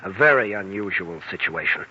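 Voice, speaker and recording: An actor playing a bitter, brooding young hospital intern in a 1940s radio drama, on a muffled, hissy old recording. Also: male voice, deep voice, lively intonation, normal speed. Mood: brooding, guarded. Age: young adult.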